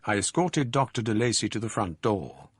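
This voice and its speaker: Gentleman narrator: low, husky, measured. Radio drama. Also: lively intonation, no room sound. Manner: measured, formal storytelling. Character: reflective gentleman recounting past events.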